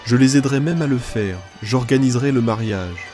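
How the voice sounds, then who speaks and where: Man doing a jealous husband in an animated film, earnest inner monologue, mid-pitched, faint music. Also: brisk, natural voice. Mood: earnest, reflective. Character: jealous husband turned thoughtful, adult man.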